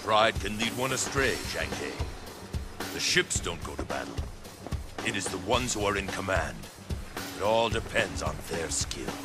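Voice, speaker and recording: A commanding, ambitious warlord from a video game , played by a man, speaks in a low voice with wide, dramatic swings of pitch. He is grave and measured, like a proud orator. Music plays prominently behind him. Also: natural voice.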